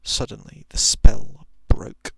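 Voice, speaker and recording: A man reading a thriller short story as ASMR, in a soft, hushed, often whispered voice with dramatic, drawn-out phrasing. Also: no room sound. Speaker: narrator.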